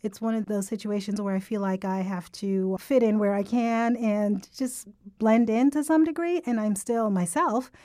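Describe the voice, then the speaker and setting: A woman's voice: medium pitch, moderate pace, hardly any pauses, dry recording, studio quality. Upbeat woman co-hosting a podcast. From Jamaica. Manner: upbeat, lively, personable. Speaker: podcast co-host. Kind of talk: casual podcast conversation.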